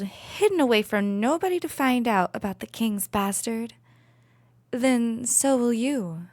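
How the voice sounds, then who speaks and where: Girl doing a mean princess villain for asmr roleplay, taunting n condescending, unhurried, super dry close sound. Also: medium pitch, no echo. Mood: bitter, menacing. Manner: condescending, taunting, haughty, with soft menacing asides. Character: cruel, possessive royal villainess, a mocking princess captor. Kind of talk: ASMR roleplay, dramatic monologue to listener.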